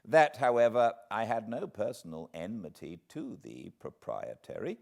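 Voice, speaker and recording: A British man reading a classic book aloud as an audiobook narrator, with measured, theatrical phrasing. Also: on the low side, sing-song, creaky, darkish tone. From Britain. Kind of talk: expressive literary audiobook reading.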